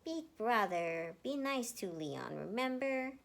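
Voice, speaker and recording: Woman putting on a squealing high-pitched little boy. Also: animated, slow, gravelly, full character voice. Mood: pouting. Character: excited squealing little boy, affectionate younger brother. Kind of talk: amateur fanfiction read-aloud. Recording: noticeable background noise.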